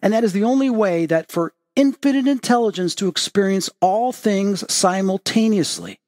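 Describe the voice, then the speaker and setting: A man's voice: high-pitched, moderate pace, a little mellow, no room sound, studio quality. A man hosting a spiritual podcast, talking in an earnest, reflective way and telling personal stories. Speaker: podcast host. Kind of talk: casual spiritual podcast chat.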